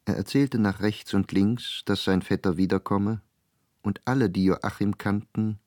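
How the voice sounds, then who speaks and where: Low, dry-sounding male literary narrator. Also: steady tone, measured pace, darkish tone, no room sound, lightly acted. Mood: dryly ironic. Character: composed, dryly ironic literary storyteller. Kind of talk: scripted literary radio narration.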